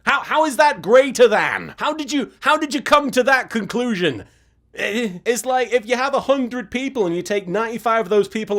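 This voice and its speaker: A man's voice: very high-pitched, gravelly, fairly bright tone. A man hosting a comedic recap show, giving sarcastic commentary with exasperated rants. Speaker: host of anime recap show.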